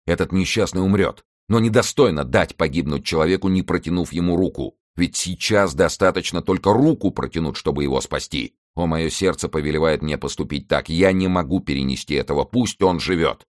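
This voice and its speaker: A noble count pleads earnestly for mercy in a deep, low voice, as a male narrator puts him on. The delivery is measured and heartfelt. The recording is dry and close. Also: no room sound, natural voice. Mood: earnest imploring. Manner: earnest, imploring. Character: noble, compassionate older count, imploring.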